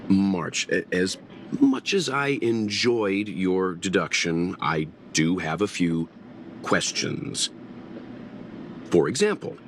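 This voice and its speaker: Brief: game role, learned gentleman sleuth, low voice, measured and courteous, expressive intonation. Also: slight background noise, exaggerated voice. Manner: measured, polite, precise. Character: composed, learned older gentleman investigator, courteous and analytical. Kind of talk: game voice acting.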